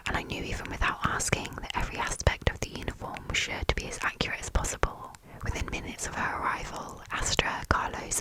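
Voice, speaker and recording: A woman reading a story aloud in a soft, fully unvoiced ASMR whisper, close to the microphone. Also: low-quality recording.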